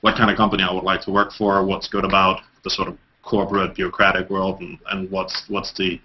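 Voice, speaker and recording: A man from Denmark in his thirties, answering interview questions over a video call. His voice sits very low, and he stumbles through restarts and fillers. The audio is dull and lo-fi. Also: monotone, a little fast, a little hiss or hum. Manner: hesitant, many fillers and restarts. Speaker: interview guest.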